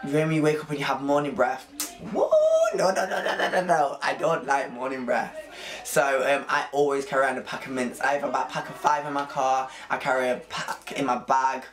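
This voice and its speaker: A hoarse young man from England with a Nottingham accent, chatting playfully to camera in his room. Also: mid-range voice, fairly quick, reverberant. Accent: Nottingham English. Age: young adult. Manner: chatty, animated, playful slang. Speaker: vlogger. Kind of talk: casual chat to camera. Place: bedroom at home, indoors.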